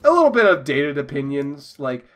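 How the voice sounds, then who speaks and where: A man's voice: on the high side, very animated, moderate pace, soft background music. A young man chatting casually as a podcast guest, joking and giggly as he draws. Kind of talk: casual chat while drawing.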